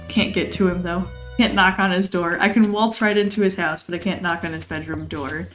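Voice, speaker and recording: A chatty woman, somewhat hoarse from losing her voice, rambling through casual gameplay commentary with frequent laughs. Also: mid-range voice. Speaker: let's play host. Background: game music.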